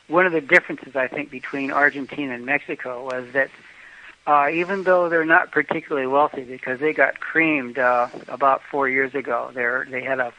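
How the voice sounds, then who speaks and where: A man's voice: mid-range voice, sharp tone. An older American man telling travel stories in a casual interview, rambling with many fillers and restarts. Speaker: travel guest. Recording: dull lo-fi podcast audio.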